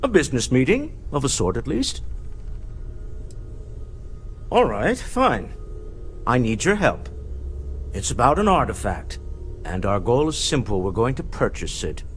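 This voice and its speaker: Suave, animated businessman. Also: medium pitch, unhurried, long pauses. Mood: smooth, persuasive. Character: suave, shrewd older male businessman in space. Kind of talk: video game dialogue. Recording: faint background ambient noise.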